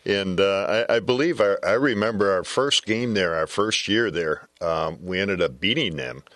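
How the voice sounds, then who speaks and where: An older American man giving casual baseball analysis as a former coach, in halting, repeating phrases. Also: sits low, fairly bright tone, dry recording, clean studio sound.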